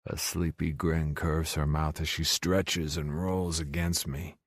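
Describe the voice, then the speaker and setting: A man's voice: a bass voice, little pitch movement, takes it slowly, no echo, clean studio sound. A man narrating a first-person romance audiobook.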